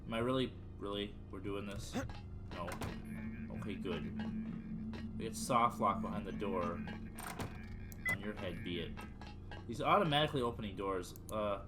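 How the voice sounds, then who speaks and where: A man's voice: fairly low pitch, normal speed, pauses often, over music. A man giving casual, wry commentary as he plays a video game, often muttering to himself. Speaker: let's play video game host.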